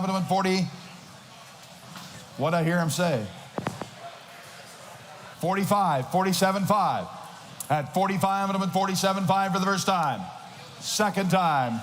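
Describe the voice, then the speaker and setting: A man working as an auctioneer, calling bids in a rapid rhythmic chant and shouting the numbers. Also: high-pitched. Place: large auction hall. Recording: noisy reverberant hall.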